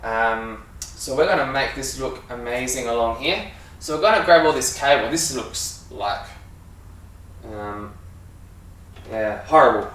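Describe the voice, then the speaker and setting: A man with an Australian accent giving a casual, halting hands-on demonstration as a sound engineer while he works. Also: medium pitch, fairly bright tone. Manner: informal, halting, talking while working with hands. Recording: echoey room, distant mic, faint noise.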